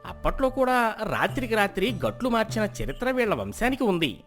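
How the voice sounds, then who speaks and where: An accusing village accountant voiced by a man insinuates deferentially in a folk tale. The voice sits high, shifted well up, with music behind it. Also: very animated, a little fast, a bit bright, full character voice. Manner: accusatory, deferential to his lord. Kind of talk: dramatized Telugu folk moral tale.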